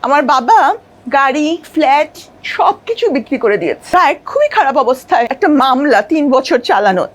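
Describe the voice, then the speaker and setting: A woman's voice: high-pitched, very animated, a little fast. A middle-aged woman with a Bangladeshi accent telling her life story as an interview guest, emphatic and repeating her phrases.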